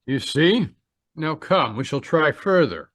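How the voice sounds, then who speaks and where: A man acting a stern, calm guide to the dead in an old-time radio mystery, speaking formally and with measured solemnity. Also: fairly high pitch, no echo, clean studio sound, full character voice.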